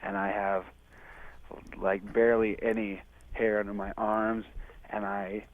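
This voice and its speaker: An eighteen-year-old man with a deep voice, calling a radio show casually and a bit hesitantly. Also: little pitch movement, a little slow. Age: teens. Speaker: caller. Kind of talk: phoned-in question. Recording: tinny phone line.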